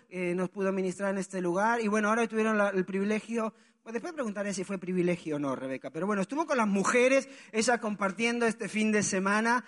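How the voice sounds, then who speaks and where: A man's voice: high-pitched, a bit bright. A man hosting a church service, introducing a guest speaker and leading prayer before the congregation.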